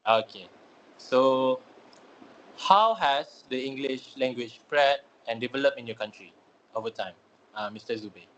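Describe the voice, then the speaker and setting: A man's voice: medium pitch, unhurried, fairly bright tone, muffled recording. A young man from Malaysia, a student asking interview questions hesitantly, with many fillers. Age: young adult.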